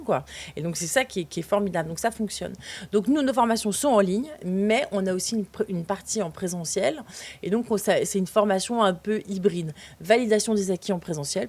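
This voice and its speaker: A woman being interviewed as a company founder, answering in a lively, casual way with many fillers and restarts. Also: fairly low pitch. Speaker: guest, founder of a digital training company.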